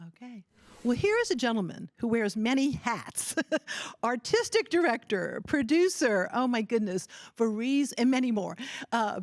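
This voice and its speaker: A woman's voice: a little high, darkish tone, no echo, studio quality. Chatty woman hosting a TV show. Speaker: TV host. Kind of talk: TV interview chat.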